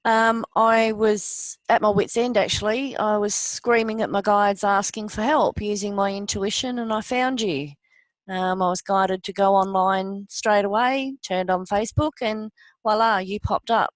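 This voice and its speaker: A middle-aged woman, a guest giving an earnest personal account, often pausing with ums. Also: mid-range voice, steady tone, no room sound. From Australia. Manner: earnest, halting with many ums. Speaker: interview guest. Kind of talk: personal testimony.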